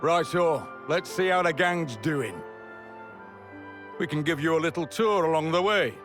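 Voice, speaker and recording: A high male voice, a brisk, no-nonsense companion in a game cutscene. Faint music plays behind him. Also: a bit bright. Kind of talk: video game cutscene acting.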